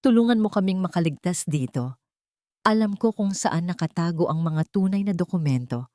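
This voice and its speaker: A woman's voice: fairly low pitch, animated, moderate pace, dark tone, no room sound, clean studio sound, lightly acted. A woman voicing a desperate former enemy, a man pleading urgently in a melodramatic audio story.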